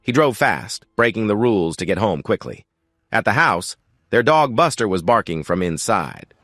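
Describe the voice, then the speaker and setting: A man's voice: fairly low pitch, very animated, moderate pace, fairly bright tone, dry recording, studio quality. A man reading a scripted story as its narrator.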